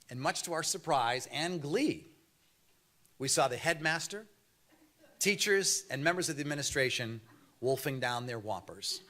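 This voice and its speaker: A news anchor in his fifties accepts an award at a banquet podium, speaking into the microphone with a mild Boston accent. His delivery is measured and earnest, a prepared speech with some light storytelling humor. His voice sits at an ordinary middle pitch for a man. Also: fairly bright tone. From Boston area, USA.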